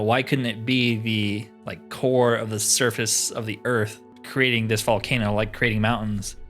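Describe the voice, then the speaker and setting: A man's voice: on the low side, fairly bright tone. Casual man doing game commentary over music. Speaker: gamer playing through a role-playing game. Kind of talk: casual game commentary, reading quest dialogue aloud.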